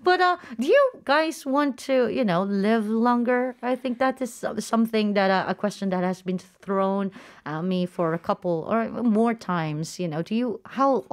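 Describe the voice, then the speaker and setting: A woman's voice: medium pitch, expressive, moderate pace. A woman hosting a casual live radio talk show, chatty and playfully teasing with her guests. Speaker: radio host. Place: radio studio.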